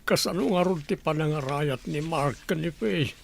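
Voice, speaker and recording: A man playing a weary, gravelly-voiced older father in a radio drama. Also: a little high, moderate pace, a little hiss or hum. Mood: weary, doubtful. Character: weary older father worried for his married daughter.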